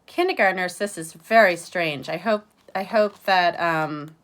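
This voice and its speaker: A woman teacher reading aloud to young children, chatting conversationally, explaining gently and asking them questions. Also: fairly low pitch, a little slow. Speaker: kindergarten teacher.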